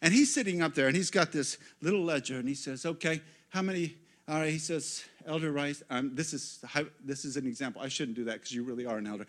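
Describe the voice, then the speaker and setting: High-voiced, bright preaching man. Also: fast talker. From United States. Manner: storytelling, swings from hushed asides to loud exclamations. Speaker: guest pastor. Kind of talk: sermon. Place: church.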